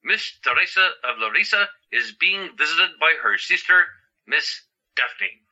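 Dull-sounding, bright-voiced declaiming man as a gentle patient. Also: a little high, animated, a little slow, heavily acted. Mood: proud, pleased. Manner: declamatory, earnest, formal. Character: kind-hearted male hospital patient, grandly playing ancient Roman sentry. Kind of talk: audio drama acting.

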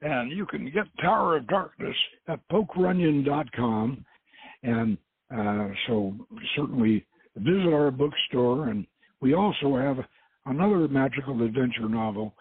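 A very husky man hosts and narrates an adventure story. He now and then trips over a word and repeats it. The sound is narrow and phone-like, with a dry, close feel. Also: mid-range voice, a little slow, no echo. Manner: stumbles and repeats words while reading. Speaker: host and author reading his novel. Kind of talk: dramatic reading of an adventure novel. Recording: muffled phone-like lo-fi audio.

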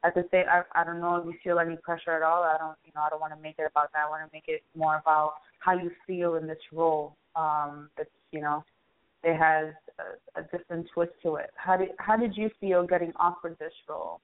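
Woman interviewer, low voice, phone-line sound. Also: flat delivery, fairly quick. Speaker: interviewer, show host. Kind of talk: casual, conversational interview. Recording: tinny phone-line radio audio.

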